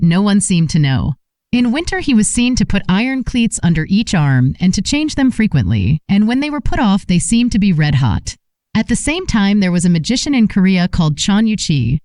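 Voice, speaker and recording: A woman's voice: medium pitch, mellow, no room sound. A woman reading a scripted folk tale as an audiobook narrator.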